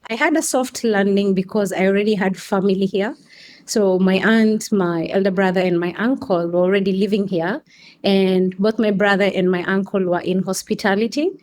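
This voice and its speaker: A young adult woman from Kenya telling a podcast host about her path into coffee, speaking slowly with fillers and restarts. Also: medium pitch, darkish tone. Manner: unhurried, frequent restarts and fillers. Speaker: guest, coffee customer experience manager. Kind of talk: casual interview answers.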